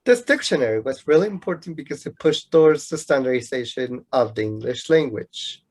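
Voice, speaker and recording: A man's voice: medium pitch, expressive, a little fast, a little mellow. A man playing a competitive young student in a radio play, explaining things to show off his knowledge. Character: competitive know-it-all young male student rival in love.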